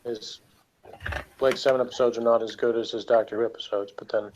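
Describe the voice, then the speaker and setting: A man's voice: medium pitch, level tone, a little fast, darkish tone, dull audio, a little hiss or hum. A man chatting casually as co-host of a review show, hesitant and grumbling as he gives critical opinions. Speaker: podcast co-host reviewing television.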